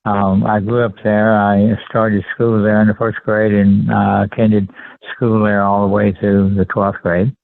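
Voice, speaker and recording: A man in his seventies with a Texan accent, recalling his life as an interview guest in a halting, deliberate, polite way. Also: on the low side, monotone, creaky, no echo. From rural Texas, USA. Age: 70s. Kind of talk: conversational personal recollection. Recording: tinny phone-line sound.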